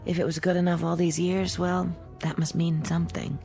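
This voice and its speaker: Low female voice, creaky, gentle hopeful survivor, hesitant radio drama, faint music. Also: flat delivery, normal speed. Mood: tender hesitancy. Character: gentle, faithful, quietly hopeful woman survivor.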